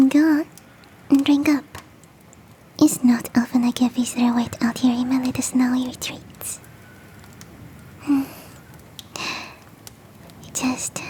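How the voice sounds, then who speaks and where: Woman as cuddly female yeti, high soft whisper, unhurried, tender and teasing, ASMR roleplay, faint ambient noise. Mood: tender, doting. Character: big fluffy female yeti, sweet, motherly, flirty, cuddly.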